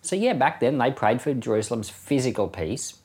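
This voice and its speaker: A man's voice: mid-range voice, big pitch swings, brisk, darkish tone. A man who is a pastor giving informal Bible commentary in a conversational, enthusiastic way, posing rhetorical questions. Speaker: pastor teaching a Bible podcast.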